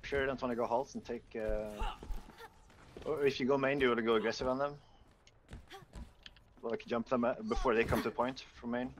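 Man, teammate, planning talk, hesitant fillers, quick pace, faint noise. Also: mid-range voice, steady tone. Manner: plans moves with hesitant fillers. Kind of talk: team voice chat during a match.

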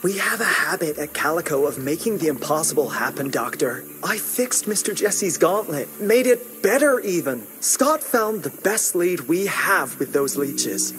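High-voiced, earnest young inventor. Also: male voice, very animated, moderate pace, hardly any pauses, fairly bright tone, slight background noise. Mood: hopeful. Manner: earnest, deferential, persuasive. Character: earnest, hopeful young male inventor, loyal and polite. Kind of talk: video game cutscene acting.

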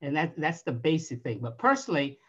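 An older woman speaks from her bookshelf-lined room at home, her voice a little worn and hoarse. She takes her time, filling gaps with soft hesitations. The video-call audio is muffled and lo-fi. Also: deep voice, big pitch swings. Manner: unhurried, thoughtful, frequent "uh" and "um" pauses. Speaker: book reviewer. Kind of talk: library book talk over video call. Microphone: video call webcam.

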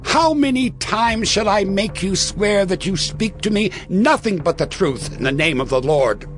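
A man's voice: high-pitched, expressive, a little slow, soft background music. A man playing an imperious, resentful king in a dramatized audio Bible, speaking in a commanding, theatrical way.

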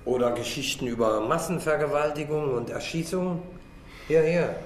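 An actor playing a sceptical police detective in a radio crime drama, asking pointed, controlled questions. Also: male voice, fairly high pitch, moderate pace, roomy sound, a little hiss or hum, lightly acted. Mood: probing, sceptical. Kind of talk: naturalistic German radio drama acting.

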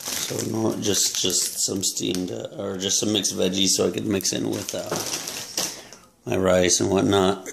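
A young man with a low, gravelly voice chats slowly into a camera held close at arm's length. He talks in a relaxed, halting way, full of ums and uhs. A faint hiss sits under the small indoor room. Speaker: fitness vlogger. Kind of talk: casual grocery haul talk to camera.

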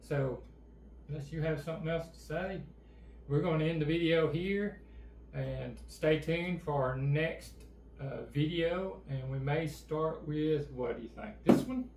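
A middle-aged man talks in a relaxed, plainspoken drawl, his voice rough with gravel. He sits at a table in an echoey room, with a faint hum of noise behind him. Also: on the high side, a little slow. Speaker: co-host. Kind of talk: casual channel chat. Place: indoor room with game table and backdrop.

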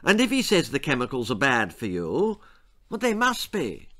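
A man's voice: mid-range voice, wildly swooping pitch, moderate pace, fairly bright tone. A man voicing a fussy, earnest mother in a storybook. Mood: gentle reproach.

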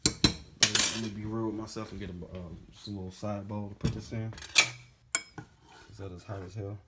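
A man in his forties with a fairly low voice chats loosely as he cooks in his home kitchen, sounding slightly congested. He is recorded on a phone camera, with clear background noise around him. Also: flat delivery, unhurried. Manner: laid-back, rambling, says "man" and "bad boy" a lot. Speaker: home cook vlogger. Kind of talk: casual how-to vlog.

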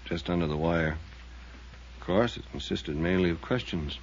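An actor playing a sardonic, needling small-town newspaper reporter in 1950s radio drama style. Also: male voice, deep voice, slight background noise. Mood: subdued. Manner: sardonic and needling, quick to jab. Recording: muffled old radio recording.

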